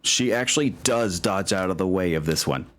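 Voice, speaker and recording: Low-voiced man running a tabletop game. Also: animated, normal speed, a bit bright, a little hiss or hum. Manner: descriptive, conversational narration. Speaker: game master. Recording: music playing faintly behind the voice. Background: faint music.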